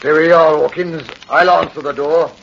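An actor playing a respectful British police corporal in an old radio drama. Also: male voice, fairly high pitch, steady tone, moderate pace, vocal fry. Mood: deferential. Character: deferential British police corporal, a local bobby. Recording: muffled, faintly noisy old radio recording.

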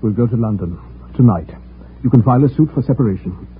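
A man playing a concerned, decisive gentleman in an old radio drama, with an earnest and urgent manner. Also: mid-range voice, expressive, fast, husky. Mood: firm, protective. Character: concerned, decisive gentleman, protective male confidant. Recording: tinny old radio recording, faint noise.

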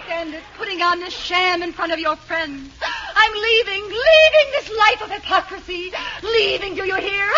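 An actress playing a scheming, theatrical wife who puts on a mad act in a radio comedy. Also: female voice, extremely high pitch. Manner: melodramatic, mocking. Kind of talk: old-time radio situation comedy acting. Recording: dull, very noisy old radio recording.

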